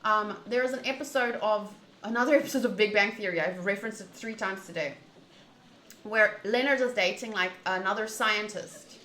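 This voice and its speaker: A woman streamer chatting casually to viewers during a game playthrough, in an animated, opinionated and wandering way, often rephrasing mid-thought. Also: medium pitch, moderate pace. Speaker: streamer playing a visual novel. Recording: echoey room, faint background noise.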